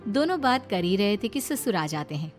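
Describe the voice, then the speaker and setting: Storybook narrator, a woman with an ordinary mid voice, soft music underneath. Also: medium pitch, sing-song, moderate pace. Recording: faint background music.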